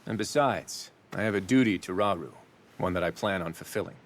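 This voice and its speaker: A man's voice: low voice, fairly quick. An actor playing a steadfast, teasing male bird-folk warrior in a video game, with a wry, assured manner. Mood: earnest.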